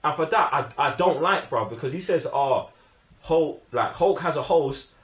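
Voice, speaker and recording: A man's voice: fairly high pitch, lively intonation, moderate pace. A man with a London accent in a casual film discussion, talking emphatically in heavy slang and repeating himself to press his points. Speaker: film review show host. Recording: roomy, echoing room sound.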